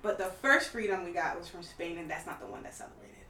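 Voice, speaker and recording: A woman's voice: on the low side, expressive, quick pace, pushed and bright, recorded from a distance. A woman guest speaking in casual chat, explaining and putting questions to the group. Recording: echoey, off the mic.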